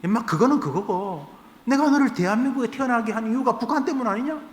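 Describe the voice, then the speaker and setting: A man voicing God as a stern heavenly father who scolds in gruff, casual slang, in an echoey church hall. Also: extremely high pitch, animated, fast talker, voice pushed up. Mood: scolding.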